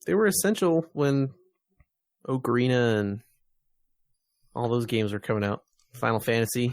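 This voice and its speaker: A man with an average-pitched voice talks as a podcast co-host. His manner is low-key and conversational as he chats about video games. The recording is very dry, with little room sound. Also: moderate pace, darkish tone, no room sound.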